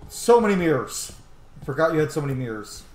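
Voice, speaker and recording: A man's voice: on the high side, big pitch swings, a little fast, darkish tone, faint music behind. A man giving chatty, casual video game commentary, thinking aloud and swearing now and then. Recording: roomy echo, light background noise.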